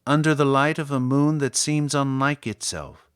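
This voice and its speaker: A man reciting literary poetry in a measured, solemn manner with deliberate phrasing. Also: mid-range voice, steady tone, clean tone, no echo, clean studio sound. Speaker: poetry reader.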